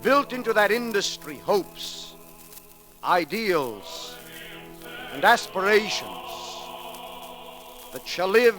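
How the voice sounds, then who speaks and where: A man delivering a solemn, oratorical eulogy in formal measured phrases on a 1930s radio recording. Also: high voice, big pitch swings, sharp tone, low-quality recording. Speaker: eulogist at a memorial service. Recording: 1939 radio recording with faint music.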